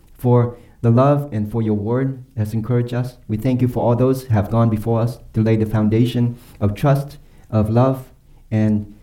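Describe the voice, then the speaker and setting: A man preaching a sermon and leading a closing prayer, earnest and emphatic, slowing down and dropping softly on key phrases. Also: mid-range voice, rough recording. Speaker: pastor.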